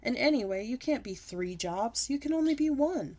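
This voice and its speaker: A woman's voice: fairly high pitch, animated, moderate pace, mellow, pitched above the performer's own voice. A woman voicing a mean little boy who teases in a singsong taunt.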